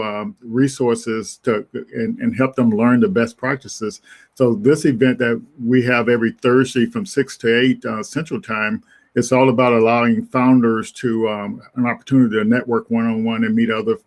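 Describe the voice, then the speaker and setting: A man hosting a webinar, talking in a halting way with many restarts and repeated words. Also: medium pitch. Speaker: host.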